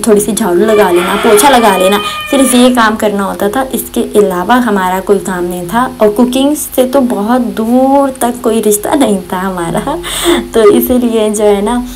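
Young female cooking vlogger, mid-pitched, rambling friendly voice-over, faint hum behind. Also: a little mellow. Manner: chatty, rambling, many fillers and religious phrases. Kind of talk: casual voice-over chat. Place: home kitchen. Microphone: voice-over recorded separately.

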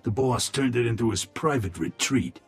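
An actor playing a shady, self-serving male criminal middleman in a video game, with a raspy, gravelly voice. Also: medium pitch, moderate pace, soft background music. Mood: wry.

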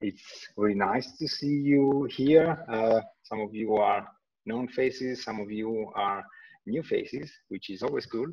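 A man in his thirties from Italy, co-hosting an online panel in a relaxed, joking way with many fillers. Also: lowish voice, measured pace, vocal fry, husky. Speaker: co-host and postdoc. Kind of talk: casual online panel chat. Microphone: video call. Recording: muffled distant video call audio.

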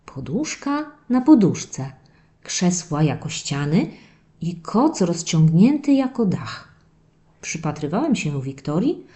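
Woman as an animated puppy storyteller, unhurried. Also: on the low side. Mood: hushed, confiding. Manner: expressive, drawn-out dramatic telling addressed to children. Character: playful young male terrier puppy narrating his diary. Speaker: narrator of a children's audio story series. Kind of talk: animated first-person children's storytelling.

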